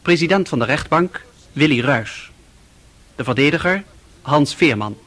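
A man's voice: a little high, animated, a little slow, fairly bright tone. A man working as a radio announcer, reading scripted credits in a formal style.

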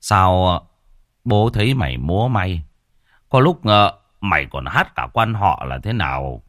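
A worried middle-aged village father in a ghost story, lamenting in a low, shaky voice, as a male narrator puts him on. His voice sits deep, and the recording is dry and close. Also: lively intonation, unhurried, a bit bright, dry recording. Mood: anxious.

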